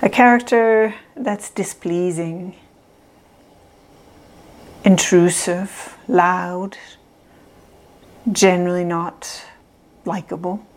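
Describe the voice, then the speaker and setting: Slow, soft-spoken woman guiding a visualization. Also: on the low side. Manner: calm, measured, soft phrasing with long pauses. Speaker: meditation guide.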